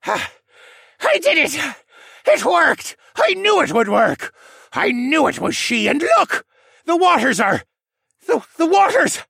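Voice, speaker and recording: A man's voice: extremely high pitch, wildly swooping pitch, takes it slowly, vocal fry, sharp tone, dry recording, clean studio sound, pitched above the performer's own voice, heavily acted. A man voicing a deranged, feverish owlman villain who rants and stammers in an audiobook fantasy. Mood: feverish triumph. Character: deranged gloating owlman villain, frail and desperate.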